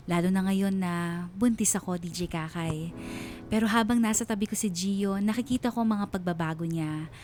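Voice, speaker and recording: A woman's voice: mid-range voice, a little mellow, close to an everyday voice. A woman playing a reflective wife and mother, narrating her story in a heartfelt radio drama style. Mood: grateful, reflective. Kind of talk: scripted first-person radio drama narration.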